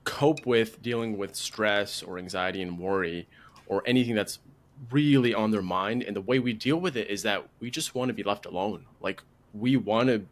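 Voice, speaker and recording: Man, lowish pitch, podcast panelist, casual explanation, hesitant, repeats phrases.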